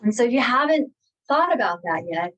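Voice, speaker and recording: A woman gives a guided, meditative talk on spiritual practice. Her delivery is gentle and deliberate, set out in short phrases with pauses between them. Her pitch sits in the middle range for a woman. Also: sing-song, highs cut off. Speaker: guest speaker and guide.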